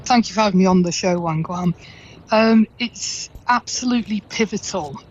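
A woman's voice: mid-range voice, moderate pace, lo-fi sound, slight background noise. A woman business consultant speaking from an office, answering emphatically and upbeat. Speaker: international business consultant, guest. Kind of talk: interview answer. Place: indoors, office with window.

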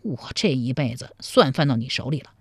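A woman's voice: a bass voice, animated, brisk, breathy edge, no room sound, pitched below the performer's own voice, full character voice. A woman voicing a sly, teasing young suitor in a storybook, wheedling and mock-meek. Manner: teasing, wheedling, laid-back. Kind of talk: dramatized storybook dialogue.

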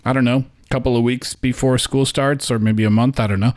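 A man's voice: medium pitch, steady tone, a little fast, darkish tone. A man chatting as co-host of a language lesson, easygoing, repeating words back with plenty of fillers. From North America. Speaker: co-host and learner. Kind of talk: casual chat.

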